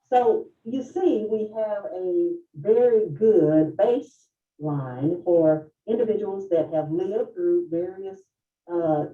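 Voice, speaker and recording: A woman of fifty-five moderating a panel in a formal, deliberate and courteous way. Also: mid-range voice. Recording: distant, roomy, dull lo-fi video sound.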